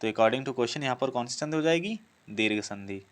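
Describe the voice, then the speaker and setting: A man speaks as a teacher taking students through practice questions. His voice is clear and smooth, at a middle pitch. He restates each question, then gives the answer in an instructional way. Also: animated, moderate pace. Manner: repeats each question before giving the answer. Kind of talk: instructional lesson, working through quiz questions.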